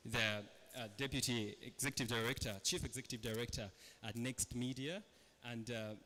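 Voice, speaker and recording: A man's voice: mid-range voice, steady tone, moderate pace, sharp tone, slight background noise. A man with a Ugandan accent moderating a panel, hesitant with many fillers. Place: large hall. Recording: reverberant hall with faint ambience.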